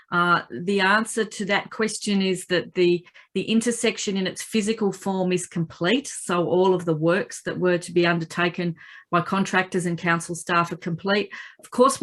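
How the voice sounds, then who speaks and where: Casting: Australian woman, fairly low voice, croaky from a cold, conversational local councillor updating a community meeting by video call. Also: fairly bright tone.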